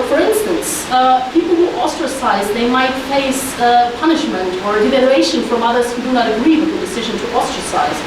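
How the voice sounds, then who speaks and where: A woman with a German accent giving an informal, enthusiastic conference talk as an academic researcher. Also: sits high. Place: indoor conference room. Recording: very echoey hall, distant mic, hissy noise.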